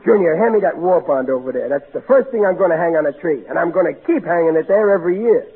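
Blustering Brooklyn dad, old radio. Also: male voice, on the high side, lively intonation, quick pace, slightly rough. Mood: cheerful, proud. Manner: folksy, ungrammatical, easily flustered. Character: blustering working-class family father, chuckling at own jokes. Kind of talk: 1940s American radio comedy acting. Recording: tinny, hissy 1940s radio recording.

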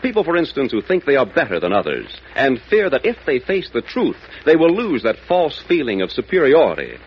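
Earnest, animated vintage radio host, a man. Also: medium pitch, fairly quick. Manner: earnest, moralizing, emphatic. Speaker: radio show host addressing young listeners. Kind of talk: scripted 1940s radio address. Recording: muffled, noisy old radio recording.

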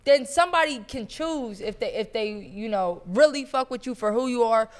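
A woman's voice: on the high side, lively intonation, normal speed. A woman hosting a podcast, giving blunt, slangy opinions in casual chat. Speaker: podcast host.

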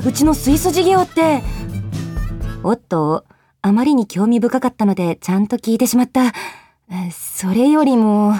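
Woman playing pushy young office lady, high voice, swoops all over, excitable radio drama style. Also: normal speed, soft background music, lightly acted. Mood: wry inner monologue. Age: young adult. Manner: insistent, excitable. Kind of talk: Japanese radio drama acting with inner monologue.

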